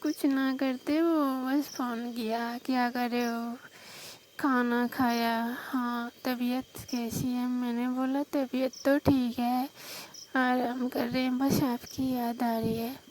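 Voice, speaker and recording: A woman playing a shy, hesitant young married village sister-in-law on a staged night phone call, with a Rajasthani accent and a coy, soft-spoken manner. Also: fairly high pitch, little pitch movement, slow pace, slight background noise. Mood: sleepy, casual. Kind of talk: staged phone-call role-play in casual talk.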